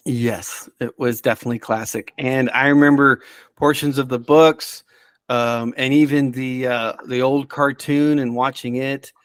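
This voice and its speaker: A man's voice: medium pitch, fairly even intonation, measured pace, smooth, no echo. A man talking casually as a podcast expert, often hesitating and restarting his thoughts as he rambles. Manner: hesitant, rambling, self-interrupting. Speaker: podcast subject-matter expert. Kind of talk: casual chat.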